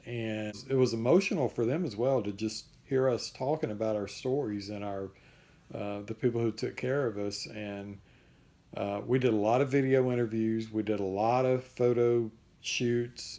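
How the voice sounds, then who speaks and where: Male vlogger, casual unscripted update, warm low-key tone, voice wearing thin. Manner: relaxed, rambling with restarts. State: losing his voice.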